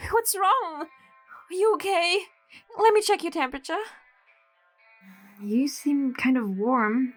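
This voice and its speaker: A high-pitched young woman, played by an actress, coos with doting, unhinged sweetness in a radio drama. She chatters slowly to herself and her beloved over faint music. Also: a bit bright, full character voice. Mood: flustered. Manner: cooing, chatty, self-talking.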